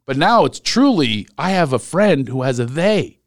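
A man's voice: on the high side, moderate pace, no echo, clean studio sound. A man with an American accent hosting a podcast, chatting casually and joking as he tells stories. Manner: joking, animated storytelling. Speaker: podcast host. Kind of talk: casual comedic interview chat.

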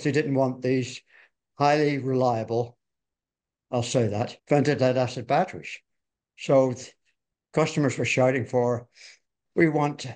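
An older man with a slightly hoarse voice from a cold talks in a loose, folksy way. He rambles and restarts his sentences as he looks back on his industry days, speaking in a plain middle register. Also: medium pitch, darkish tone. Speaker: podcast co-host. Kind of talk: conversational industry reminiscence.

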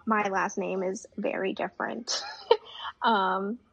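A woman's voice: medium pitch, steady tone, slow, gravelly, a bit bright, breathy edge. A young woman talking as a guest in a casual conversation, with a chatty manner full of fillers. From United States. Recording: dull lo-fi audio.

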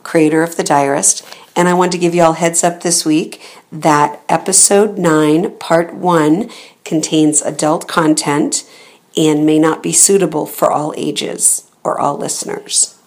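Low-pitched woman introducing an audio drama. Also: steady tone, measured pace, a little mellow. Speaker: host and creator of audio drama series. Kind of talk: scripted announcement to listeners.

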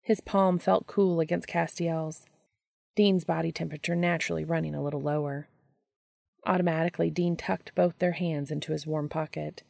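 A woman narrates a story in a low voice thick with vocal fry. The reading is scripted audiobook narration, captured close and dry. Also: steady tone, moderate pace, darkish tone, no room sound. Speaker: audiobook reader of fan fiction.

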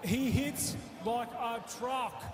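Shouting Australian fighter in echoey arena. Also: male voice, extremely high pitch, steady tone, takes it slowly. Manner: shouting, blunt, uses "mate". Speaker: fighter in post-fight interview. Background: arena noise.

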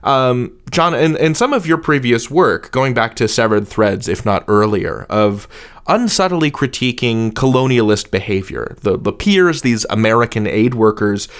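A man's voice: medium pitch, expressive, moderate pace, clear, resonant tone. Male interview host. Medium register, conversational yet articulate, lays out questions at length. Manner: articulate, wordy, builds up long questions.

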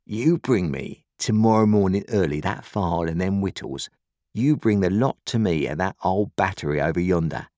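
Guy doing a menacing ragged convict for an audiobook, rough growly, bossy, rustic talk, swoopy pitch, close dry sound. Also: animated, normal speed, warm tone, dry recording. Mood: threatening. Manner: threatening, rustic working-class diction. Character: menacing, ragged escaped convict man, brutal and commanding.

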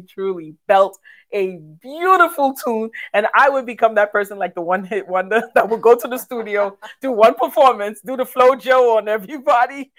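A woman, reflecting casually and expressively as a podcast co-host. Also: a little high. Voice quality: raspy. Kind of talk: casual faith discussion, personal reflection.

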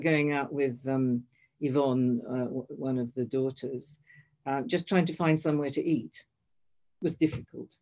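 A woman's voice: sits low, a little mellow, muffled recording. An older woman telling a long personal story in conversation, hesitant, restarting and correcting herself often. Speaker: guest.

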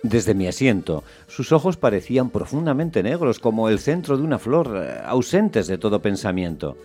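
A reflective storyteller in a radio play. He narrates in a low voice with dry, observant, literary phrasing. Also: very animated, moderate pace, warm tone, husky, faint music behind, exaggerated voice. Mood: wry, reflective.

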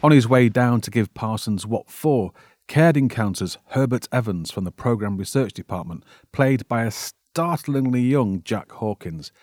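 A man's voice: mid-range voice, expressive, moderate pace, darkish tone, no room sound, studio quality. A man delivering scripted narration as the presenter of a film synopsis.

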